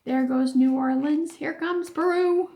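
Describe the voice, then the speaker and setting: A woman voicing a mischievous, excitable boy in a storybook, urging and chanting to egg on a friend. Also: high-pitched, measured pace, pitched above the performer's own voice. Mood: coaxing, chanting.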